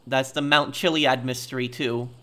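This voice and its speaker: A man giving casual gameplay commentary in a hesitant, halting way full of ums. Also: medium pitch, steady tone, a bit bright. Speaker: gamer, video host.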